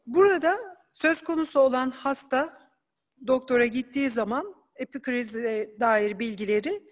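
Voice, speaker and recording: A woman giving an academic conference presentation, explaining slides in a measured way with occasional hesitant fillers. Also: high voice, in a large hall.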